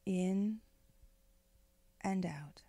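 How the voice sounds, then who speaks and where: A woman leading a guided meditation in a gentle, unhurried, soothing way, with phrases often trailing off into breath. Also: medium pitch, no room sound. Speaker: meditation guide, spiritual director.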